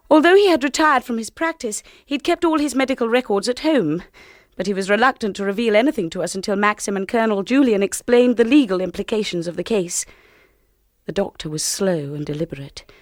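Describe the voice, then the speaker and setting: A young English wife played by an actress in a radio play, gentle and reflective. Her mid-pitched voice is dry and close, with faint music underneath. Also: dry recording, natural voice. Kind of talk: radio drama narration and acting.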